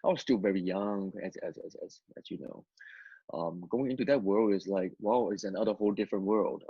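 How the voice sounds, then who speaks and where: Hong Kong man, first language Cantonese, halting storyteller, low voice, dull lo-fi sound. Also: slightly rough, dry recording. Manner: halting, repeats words, many fillers. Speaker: interview guest. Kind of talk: testimony interview.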